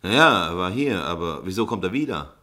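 A curt, harried newspaper editor. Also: male voice, sits low, very animated, normal speed, bright, forceful tone, heavily acted. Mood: brusque. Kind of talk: 1950s radio play acting.

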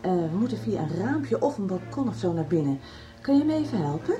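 A woman's voice: fairly low pitch, sing-song, fairly quick, dark tone, soft background music. A woman playing a busy female family doctor in a radio soap, practical, friendly and informal. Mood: practical, concerned. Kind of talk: 1990s Dutch radio soap acting.

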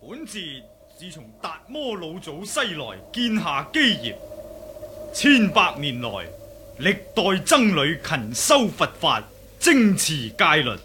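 Solemn old monk, slow and bright. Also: male voice, a little high, big pitch swings, slight background noise, full character voice. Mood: grave, earnest. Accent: Cantonese. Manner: formal, measured, pious. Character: solemn elderly male Shaolin monk elder. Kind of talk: Cantonese martial arts radio drama acting.

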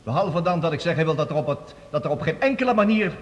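A man's voice: fairly high pitch, animated, lightly acted. An actor playing an eloquent, sardonic scientist-statesman, giving theatrical formal debate speeches in a radio play. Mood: grave, ironic. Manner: theatrical, oratorical, pompous, with dramatic pauses. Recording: long reverberant hall, radio play production.

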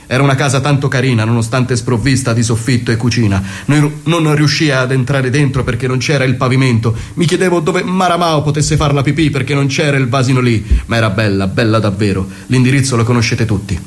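A man narrates a mock-noir comic monologue in a theatrical, deadpan way. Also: medium pitch, fast talker, hardly any pauses.

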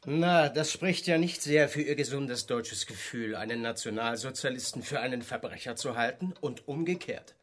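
An actor playing a dry, sardonic man who speaks with quiet irony in a radio play. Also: medium pitch, normal speed, few pauses, natural voice. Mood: ironic. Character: dry, sardonic man needling party believers.

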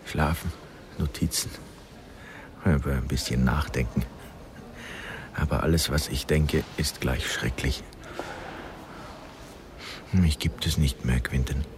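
Very deep-voiced, weary older father. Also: a little mellow, slight background noise, full character voice. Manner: halting, resigned, bitterly self-deprecating. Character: weary, broken, self-mocking father, a failed scholar. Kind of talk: radio drama acting.

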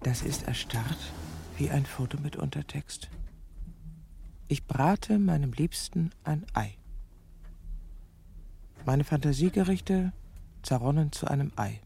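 An actress playing a bitter, lovesick middle-aged woman who has turned killer, speaking in a deliberate, sardonic, brooding way in a radio drama. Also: low voice, expressive, creaky, mellow, slight background noise, heavily acted. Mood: brooding, detached. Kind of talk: radio drama acting with intimate inner monologue.

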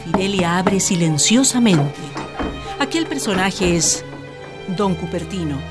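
A woman's voice: fairly low pitch, animated, slow pace. A woman with a Chilean accent narrating a radio drama as a scripted legend, solemn and dramatic. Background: music.

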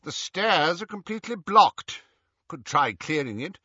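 A man voicing a cool, practical dark wizard henchman in an audiobook, clipped and matter-of-fact. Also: a little high, very animated, a little slow, slightly rough, no echo, muffled recording, voice pushed up, exaggerated voice.

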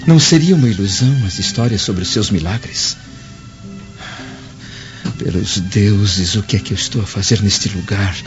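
An actor with a Brazilian accent playing a proud, brooding Roman senator in pompous radio drama monologue. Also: male voice, on the low side. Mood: troubled, disdainful. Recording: dull lo-fi audio, loud music behind.

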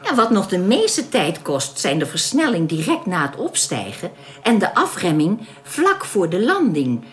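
A woman acting in a radio drama as a calm, welcoming young alien who explains things politely and with composure. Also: fairly low pitch, measured pace, exaggerated voice. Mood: earnest, explanatory.

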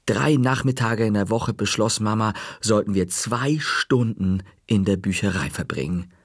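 A man narrating a children's story in a lively, expressive audiobook reading. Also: on the low side, normal speed, slightly rough, no echo, clean studio sound.

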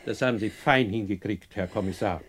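An actor playing a haughty, wealthy older shipyard owner in a 1960s German radio play, formal, imperious and dismissive. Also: male voice, on the low side, fairly quick, slight background noise, close to an everyday voice. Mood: cold, dismissive.

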